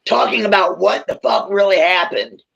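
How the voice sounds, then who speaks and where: A woman with a raspy, gravelly voice, talking bluntly as a guest on a casual livestream. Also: medium pitch, moderate pace, fairly bright tone, dull audio. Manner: blunt, emphatic, swears freely.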